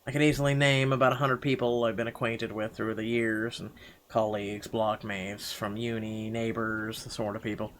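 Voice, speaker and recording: A man reading a visual novel aloud as first-person dramatic narration, halting now and then over the words. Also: lowish voice, level tone. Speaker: game player reading the text aloud.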